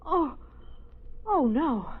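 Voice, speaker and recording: A woman's voice: high voice, expressive, very slow, exaggerated voice. An actress playing an anxious, scolding small-town lady in an old radio suspense drama, fretful and emphatic. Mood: dismayed. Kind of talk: mid-century American suspense radio acting. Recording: muffled old radio broadcast recording.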